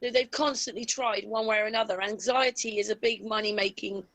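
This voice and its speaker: A woman's voice: mid-range voice, fairly even intonation, brisk, a bit bright. A woman in casual, opinionated discussion on an online call. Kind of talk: casual conspiracy-minded discussion.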